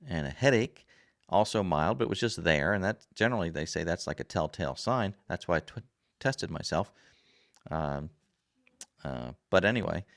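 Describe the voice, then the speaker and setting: A man hosting a casual livestream and reading viewer comments aloud, his voice raspy after a sore throat, rambling in a folksy way with many fillers. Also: deep voice, dry recording, clean studio sound. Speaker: livestream host. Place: home desk. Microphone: desk camera.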